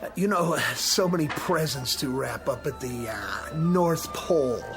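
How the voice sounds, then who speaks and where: A man's voice: medium pitch, lively intonation, unhurried. A fussy, eager-to-please store manager in a radio play. The man speaks primly and politely, his intonation swinging widely, with faint music behind. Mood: flustered, placating. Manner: prim, flustered, formally polite. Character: fussy, obsequious male department store manager. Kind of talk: radio drama acting.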